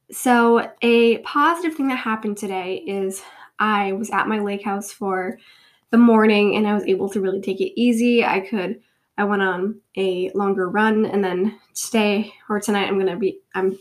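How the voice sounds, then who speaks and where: A woman's voice: medium pitch, fairly even intonation, unhurried. A young woman in her twenties with a cold, talking casually as host of her own show, her voice congested and sniffly, rambling and joking at her own expense. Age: 20s. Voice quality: nasal, sniffly. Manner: rambling, self-deprecating, giggly. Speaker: podcast host. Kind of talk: casual solo chat.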